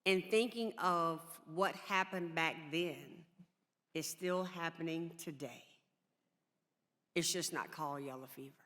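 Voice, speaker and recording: A woman with a deep voice reflects personally at a public discussion. She speaks slowly, placing weight on her phrases, and the hall rings with long reverb. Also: a bit bright. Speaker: church district superintendent, clergy.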